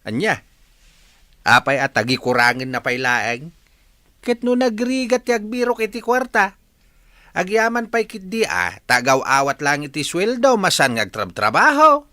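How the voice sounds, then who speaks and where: A man's voice: on the high side, sing-song, moderate pace, pushed and bright, no echo, studio quality. A man acting a blunt, meddling older uncle in a radio drama, emphatic and exclamatory. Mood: indignant. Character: blunt, meddling older uncle giving warnings to his nephew.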